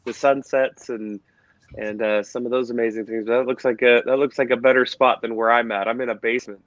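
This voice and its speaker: A man co-hosting a casual interview from a basement, relaxed and a bit halting in his speech. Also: mid-range voice, no room sound, highs cut off. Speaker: co-host.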